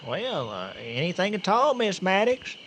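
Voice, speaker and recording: A man's voice: sits high, wildly swooping pitch, measured pace, fairly bright tone, full character voice. An actor playing a bumbling, good-natured country man with a Southern accent and a folksy, stammering way of talking in a comic radio drama. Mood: awkward, obliging. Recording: noisy radio drama recording.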